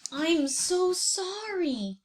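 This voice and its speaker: Gentle cheerful girl horse for a kids' tale, performed by a woman. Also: high-pitched, slow, slight background noise, heavily acted. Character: friendly gentle female horse.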